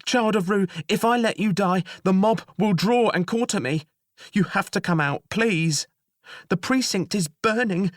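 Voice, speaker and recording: A man's voice: high voice, unhurried, dry recording, clean studio sound, pitched above the performer's own voice. A man voicing a panicked female jailer who pleads and weeps. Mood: frantic. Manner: pleading, panting, frantic.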